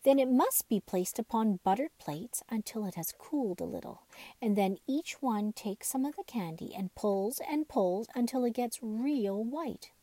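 An eager, playful rag doll boy from a children's storybook, acted out by a woman reader. He explains with patient enthusiasm in a mellow voice. The sound is close and dry. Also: on the high side, lively intonation, unhurried, dry recording. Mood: patiently explaining.

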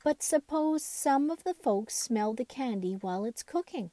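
Storybook rag doll girl played by a woman: soft, cottony, raised pitch, considerate and measured. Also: lively intonation, no echo. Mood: thoughtful, cautious. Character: gentle, thoughtful female rag doll.

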